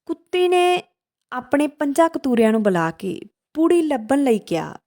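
A woman's voice: high voice, big pitch swings, normal speed, mellow. A woman storyteller narrating a folk tale for children.

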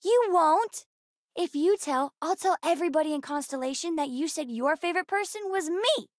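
Space-game kid: high-pitched, earnest, inquisitive girl with a cheeky streak, recorded dry. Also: animated, normal speed, dry recording. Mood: playful, teasing. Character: bright, curious, bookish young girl aboard a spaceship. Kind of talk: video game character voice lines.

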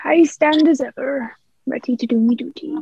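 A woman's voice: high-pitched, moderate pace, gravelly, a little mellow, breathy edge, exaggerated voice. A young woman playing a sly, wheedling pirate in a radio play, speaking in ingratiating old seafaring dialect. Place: indoor room, remote video recording. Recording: muffled home remote recording.

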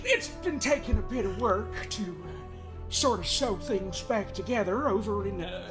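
A woman's voice: mid-range voice, lively intonation, measured pace, close to an everyday voice. A woman voicing a smug, scolding middle-aged male therapist of the universe in an improvised comedy game dub, rambling, folksy and theatrical. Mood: amused, scolding. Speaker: fan dub voice performer. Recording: music under the voice.

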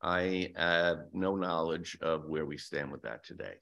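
Gravelly deep-voiced older man testifying. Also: lively intonation, unhurried, bright, forceful tone. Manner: hesitant, many fillers and restarts, defensive. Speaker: testifying witness for a business group. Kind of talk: hearing testimony. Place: legislative hearing room. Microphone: desk microphone.

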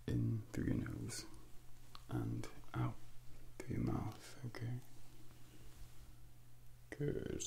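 A man playing a hushed, gravelly, suave Devil. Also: mid-range voice, exaggerated voice. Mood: calm, commanding. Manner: slow, deliberate, hushed, breathy whispers mixed in. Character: suave, ominous male Devil probing a soul. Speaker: ASMR performer. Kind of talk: ASMR roleplay, soft-spoken guided hypnosis. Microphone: close binaural microphone. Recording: close-up with faint background noise.

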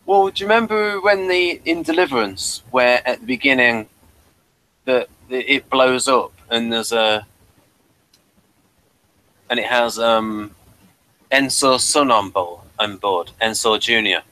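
A man hosting a casual commentary chat about a TV episode, repeating words haltingly as he thinks. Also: medium pitch, bright, forceful tone, poor audio. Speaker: podcast host.

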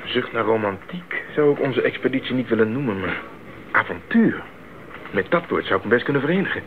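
A man's voice: medium pitch, animated, brisk, close to an everyday voice. An actor playing an idealistic, eager young male missionary in a 1980s radio drama, speaking earnestly and articulately. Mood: fervent. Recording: narrow-band 1980s radio recording, faint noise.

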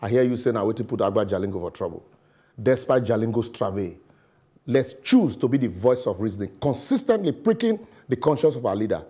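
A middle-aged man with a Nigerian accent reads his opinion piece to a studio camera. His voice is mellow and a little husky, and he leans on words with sarcasm and pidgin asides. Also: medium pitch, big pitch swings, fairly quick. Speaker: commentator. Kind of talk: scripted opinion commentary. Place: television studio.

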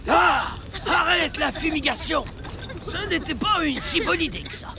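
A man's voice: very high-pitched, lively intonation, unhurried, gravelly, noisy background, heavily acted. A man voicing an earnest, authoritative village leader in a children's cartoon, speaking emphatically. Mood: alarmed. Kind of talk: French children's cartoon voice acting. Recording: music behind the voice.

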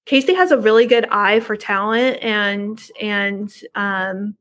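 A woman's voice: mid-range voice, takes it slowly, a bit bright. A middle-aged woman chatting casually and informally as a podcast guest on a video call from her home. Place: living room at home.

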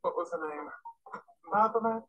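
A woman voicing an excitable young demon girl in a fan comic dub, giggly and gushing. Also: a little high, moderate pace, highs cut off. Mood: teasing.

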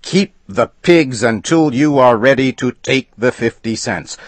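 Man putting on a furious blustering gentleman. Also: medium pitch, lively intonation, extremely slow, no room sound, pitched above the performer's own voice, exaggerated voice. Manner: blustering, spelling things out angrily. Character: furious indignant gentleman customer, shouting.

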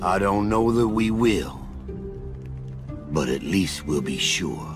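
An actor playing a gruff, weary older man, a forgetful former operative, in a video game cutscene. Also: sits low, lively intonation, slow pace, music in the background. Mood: weary, confused.

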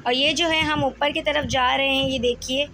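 A woman's voice: high-pitched, steady tone, normal speed, sharp tone, slight background noise. A woman in her thirties with a Karachi Urdu accent, narrating a family mall outing in a chatty voice-over full of fillers. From Pakistan. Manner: chatty, leans on filler "jo hai" constantly. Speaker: vlogger. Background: music.

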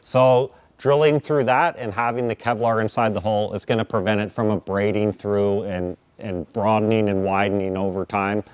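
Low-voiced man explaining reassuringly. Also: flat delivery, normal speed, hardly any pauses, a little mellow, clean tone, lo-fi sound. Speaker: staff member answering questions. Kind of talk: casual technical explanation.

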